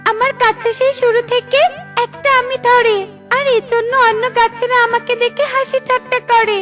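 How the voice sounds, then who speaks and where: Casting: woman to voice sweet-natured mango tree, humble and earnest, extremely high pitch, kids' cartoon with music. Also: flat delivery, normal speed, a bit bright. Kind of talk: children's cartoon dialogue.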